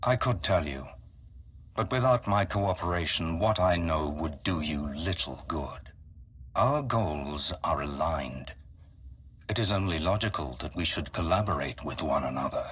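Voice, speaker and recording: A man voicing a solemn, calculating mastermind in a video game, speaking formally and deliberately with a husky voice. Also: extremely low pitch, lively intonation, a bit bright, slight background noise, full character voice. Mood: solemn, controlled. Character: solemn, calculating male mastermind with grand galactic ambitions. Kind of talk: dramatic video game acting.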